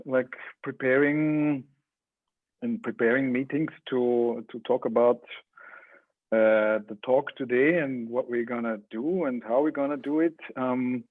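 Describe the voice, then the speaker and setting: A man interviews a guest over a call, in a mid-pitched voice. He speaks slowly and haltingly, choosing his words with care. The sound is dry, thin and phone-like. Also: fairly even intonation, no echo. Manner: halting, deliberate. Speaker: interviewer, art space organizer. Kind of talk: informal moderated talk.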